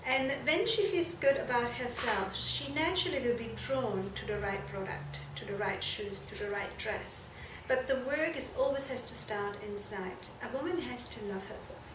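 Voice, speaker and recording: A woman from Germany, answering interview questions earnestly and haltingly, correcting herself as she goes. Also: on the high side, lively intonation. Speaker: fashion and shoe designer being interviewed. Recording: echoey room, distant mic, noisy background.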